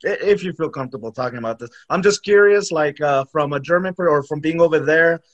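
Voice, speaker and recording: A man's voice: on the high side, fast talker, muffled recording. A man co-hosting a casual podcast, joking around, laughing often and trying out a few German phrases. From United States. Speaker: podcast co-host.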